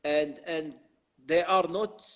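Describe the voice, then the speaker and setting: A man's voice: fairly high pitch, fairly even intonation, takes it slowly, fairly bright tone. A man whose first language is Arabic, hosting and translating an interview, often agreeing briefly and searching for words in English. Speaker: host and translator. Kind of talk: casual interview.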